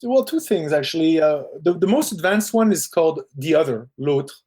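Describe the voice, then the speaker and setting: A middle-aged man with a Parisian French accent, a film director chatting in an interview, animated and quick, often restarting his sentences. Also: fairly high pitch, slightly rough. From Quebec, Canada. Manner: animated storyteller, quick bursts, frequent restarts. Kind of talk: casual interview chat.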